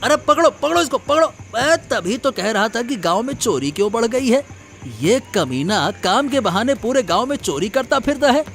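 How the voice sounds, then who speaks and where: A man's voice: extremely high pitch, animated, normal speed, a bit bright, faint music behind, heavily acted. A man voicing an aggressive village mob leader in a cartoon, abusive and commanding. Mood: angry accusing. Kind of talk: Hindi moral-story cartoon voice acting.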